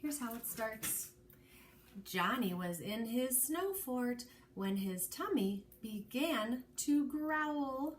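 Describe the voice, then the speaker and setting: A woman with a fairly high voice reads a picture book as an early learning teacher. She goes slowly and expressively, stopping to explain or ask questions, over noticeable background noise. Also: animated. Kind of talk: children's storybook read-aloud.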